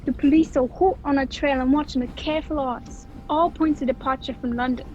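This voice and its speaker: Woman as folksy passenger for radio play: high voice, chatty, slightly dull noisy call audio. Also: fairly even intonation, brisk, a little mellow, natural voice. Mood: chatty, intrigued. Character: folksy train passenger reading the newspaper aloud. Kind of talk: old-time radio play acting. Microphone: video call.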